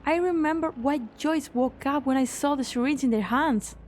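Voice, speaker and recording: Girl voicing a nervous young scientist lady, really high voice, earnest n expressive, game fandub. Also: moderate pace, slight background noise, close to an everyday voice. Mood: urgent. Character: earnest, anxious young female lab researcher. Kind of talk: English fan dub of a game story.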